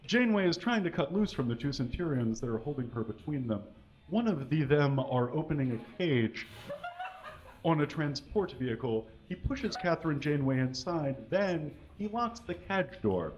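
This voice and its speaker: A man giving a live comedic reading of fan fiction on a small stage, mostly dramatic with deadpan asides, reading punctuation aloud. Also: fairly high pitch, moderate pace, slight background noise. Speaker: comedic reader. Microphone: stand mic. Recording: dull, lo-fi live recording.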